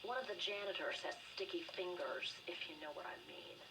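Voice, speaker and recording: A woman's voice: fairly low pitch, a little fast, close to an everyday voice. A woman playing a friendly, confiding agency staffer in a video game, chatty in manner. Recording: noisy, slightly distant game audio.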